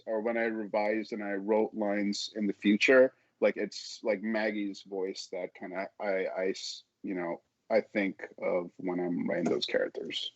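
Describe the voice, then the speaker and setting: A man chatting casually as an interview guest, halting as he repeats words and backtracks through his thoughts. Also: fairly low pitch, dry recording, highs cut off. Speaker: podcast guest, author.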